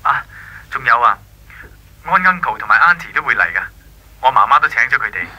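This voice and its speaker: A reserved, wistful young man in a 1980s radio drama. His mid-pitched voice is very sharp and rather husky through a telephone filter, with a Hong Kong accent. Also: expressive, a little fast, poor audio. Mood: hesitant, earnest. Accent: Hong Kong Cantonese. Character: earnest, wistful young male family friend, courteous and reserved.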